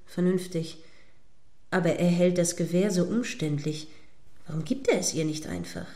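Schauspielerin als spitze, empörte Live-Kommentatorin. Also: female voice, lowish voice, normal speed, dark tone, close to an everyday voice. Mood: tense, observing. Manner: judgmental, describes events step by step. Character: prim, indignant female live TV news commentator. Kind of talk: radio play as breathless live news commentary.

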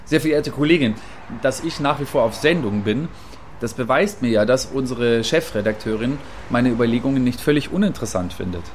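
A man's voice: mid-range voice, animated, brisk, close to an everyday voice. An opinionated male field reporter in a radio play, acted by a man, with a mid-range voice over faint ambient noise. He muses in a hesitant but insistent way, stubborn and a little provocative.

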